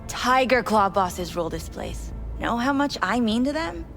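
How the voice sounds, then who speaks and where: A woman's voice: on the high side, sing-song, normal speed, fairly bright tone, faint music behind. A woman playing a cold, pragmatic manager in a cyberpunk game, speaking in a dismissive, businesslike way. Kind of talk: video game voice acting.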